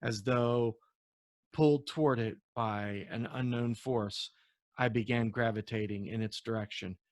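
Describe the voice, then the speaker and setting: A man who is an author reading his novel aloud as storybook narration, in an expressive storytelling manner with occasional stumbles. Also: medium pitch, a little slow, no echo.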